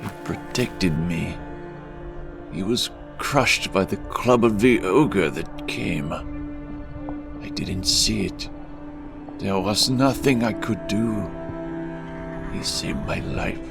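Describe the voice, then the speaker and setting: A man playing a grief-stricken, faltering guard in a fantasy audio drama, with a gravelly voice. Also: on the low side, slow pace, pauses often, music in the background. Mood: sorrowful, broken. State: grieving.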